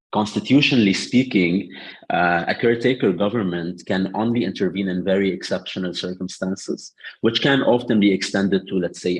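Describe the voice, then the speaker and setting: A man with a very low, husky voice speaks on a panel. He answers with steady thought, stumbling and restarting often around fillers. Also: rough recording. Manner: hesitant, many fillers and restarts.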